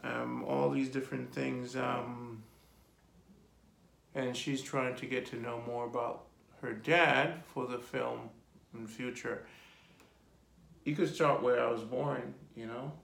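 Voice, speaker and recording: A man in his forties, an artist telling his life story in a relaxed, rambling way during an interview. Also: mid-range voice, slow pace, gravelly, pauses often. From Jamaica. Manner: rambling lists, relaxed and reflective. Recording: echoey room.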